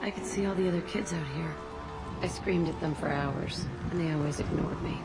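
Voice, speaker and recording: A woman's voice: sits low, a little fast, gravelly. An actress playing a tough, bitter young woman who talks bluntly and swears freely. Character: tough, profane young woman, a former test subject. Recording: reverberant with loud game music.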